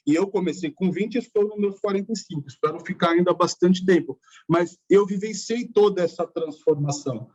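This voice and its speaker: A man's voice: sits high, fairly quick, a little mellow. A man in his forties speaking thoughtfully on a panel, often tagging sentences with "né". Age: 40s. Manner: thoughtful, with frequent "né" tags. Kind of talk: webinar panel discussion. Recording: muffled lo-fi audio.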